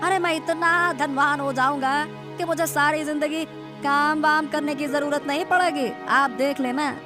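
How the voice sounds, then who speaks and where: A woman's voice: high-pitched, steady tone, unhurried. A woman playing a lazy, boastful young man in a cartoon moral story. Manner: boastful, dismissive. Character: lazy, cocky young son trusting in fate. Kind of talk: animated moral story dialogue. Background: background music.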